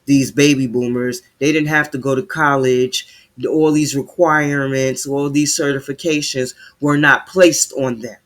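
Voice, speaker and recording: A man's voice: medium pitch, a bit bright. A man giving heated, sarcastic commentary while reading news aloud, stumbling over words as he reads.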